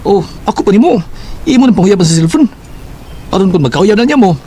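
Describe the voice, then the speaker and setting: An actor playing a teasing coworker and friend who gives love advice, in a radio drama. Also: male voice, high voice, very animated, brisk, warm tone, husky, full character voice. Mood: advising. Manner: teasing, advising. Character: teasing male coworker and buddy.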